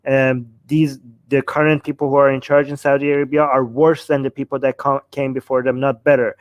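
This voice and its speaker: A man's voice: medium pitch, level tone, fairly quick, no room sound, highs cut off. A man hosting a panel discussion, speaking emphatically and repeating phrases for stress. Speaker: host of a discussion show.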